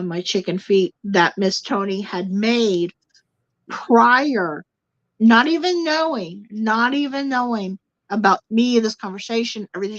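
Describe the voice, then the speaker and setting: A woman's voice: mid-range voice, dry recording. A woman guest in a casual spiritual chat, talking animatedly, repeating words for emphasis and speaking straight to the viewers. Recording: dull lo-fi sound, dry.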